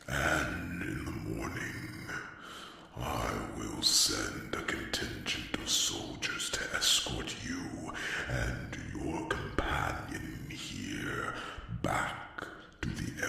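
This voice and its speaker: An ancient dragon king rumbles at an extraordinarily low pitch. He speaks with grave, regal deliberation in a fantasy audio drama. His growling voice rings through a vast, cavernous reverb. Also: bright, forceful tone, slight background noise, exaggerated voice. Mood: gracious, solemn. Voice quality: very deep, gravelly, breathy growl. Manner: slow, regal, solemn, drawn-out pauses with rumbling hums. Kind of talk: ASMR fantasy audio roleplay. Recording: cavernous long reverb, distant from mic.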